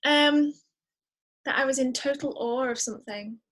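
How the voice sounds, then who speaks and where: A woman speaking as a podcast guest in a casual interview, chatty and expressive, often exclaiming. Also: sits high, moderate pace.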